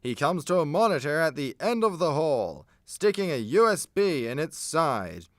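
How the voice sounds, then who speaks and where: A man's voice: on the high side, very animated, moderate pace, no echo, clean studio sound. A man reading scripted narration as the narrator of a comedy radio play.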